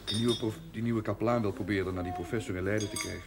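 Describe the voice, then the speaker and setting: A man's voice: lowish voice, fairly even intonation, rapid-fire, close to an everyday voice. An actor playing an easygoing working man in a period television drama, dryly reasoning and matter-of-fact. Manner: plain-spoken, matter-of-fact. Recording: very noisy vintage TV soundtrack.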